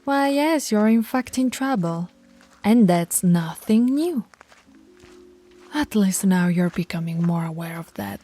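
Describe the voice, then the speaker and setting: A woman voicing a stern, commanding female werewolf pack alpha in an ASMR audio roleplay, bossy and scolding, sometimes dropping to soft whispers. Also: mid-range voice, expressive, measured pace, soft background music.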